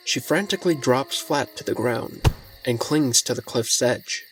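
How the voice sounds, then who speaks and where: A man's voice: mid-range voice, a little hiss or hum, rough recording. A man narrating a scripted fantasy story in the present tense as its narrator. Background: faint music.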